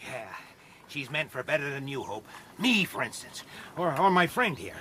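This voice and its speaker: An actor playing a leering young frontier thug in a radio drama, drawling and taunting. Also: male voice, medium pitch, sing-song, normal speed, bright, forceful tone, slight background noise. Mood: gloating.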